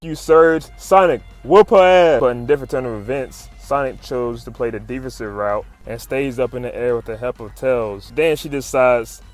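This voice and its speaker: A man's voice: medium pitch, normal speed, hardly any pauses. A man giving a casual, slangy commentary with swearing and fannish exclamations, retelling a story like a fan talking to friends. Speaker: YouTube comic recap narrator. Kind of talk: casual hype commentary, retelling comic fights. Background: music under the voice-over.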